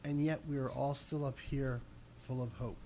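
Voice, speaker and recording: A middle-aged man, a city commissioner, giving brief, steady, hopeful remarks at a desk microphone in a council chamber. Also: mid-range voice, moderate pace, slight background noise. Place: council chamber, seated at dais.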